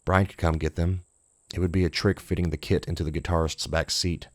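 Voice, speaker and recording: A man's voice: low voice, level tone, fairly quick, no echo, clean studio sound. A man reading scripted horror fiction as an audiobook narrator.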